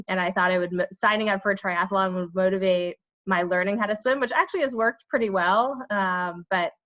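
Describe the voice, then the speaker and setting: A woman's voice: mid-range voice, fairly bright tone. A woman from New introduces herself over a video call, stopping and restarting and poking fun at herself. The audio sounds a little dull. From New York. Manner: hesitant, self-deprecating. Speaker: club member. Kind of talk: casual self-introduction.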